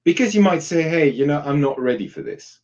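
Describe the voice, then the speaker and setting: A man's voice: a little high, animated, a little fast, a little mellow, highs cut off. A man giving informal, explanatory advice as a workshop facilitator in a live online discussion, illustrating points with example questions.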